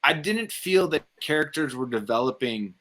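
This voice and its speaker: A man's voice: on the high side, animated, moderate pace, fairly bright tone. A man talking casually about movies, opinionated and rambling, with some swearing. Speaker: film review show panelist.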